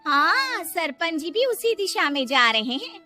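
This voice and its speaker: A woman's voice: very high voice, big pitch swings, unhurried, bright, forceful tone, faint music behind, pitched above the performer's own voice, full character voice. A woman voicing an anxious but feisty village mother in a children's cartoon, urgent and exclaiming. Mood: worried.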